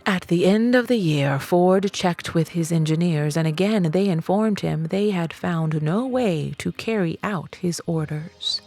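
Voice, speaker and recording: A woman reading a self-help audiobook in a measured, emphatic storytelling manner. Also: on the low side, a little mellow. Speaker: audiobook reader. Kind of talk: scripted narration of a self-help book.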